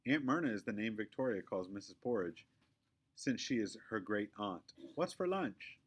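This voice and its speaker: A man reading a children's story aloud as narrator, in an expressive, emphatic read-aloud style. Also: fairly low pitch, moderate pace, slightly rough. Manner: animated, emphatic. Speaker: storybook reader.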